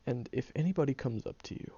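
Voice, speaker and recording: A man voicing a gruff, sarcastic young man who secretly cares, blunt and profane but gentle underneath, groggy from just waking up, in an audio roleplay. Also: medium pitch, fast talker, lo-fi sound, lightly acted. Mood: firm. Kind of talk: audio roleplay monologue to the listener.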